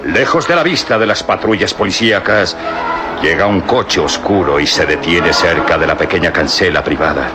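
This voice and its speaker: Low-voiced male radio drama narrator. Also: normal speed, loud music behind the voice. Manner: steady, descriptive.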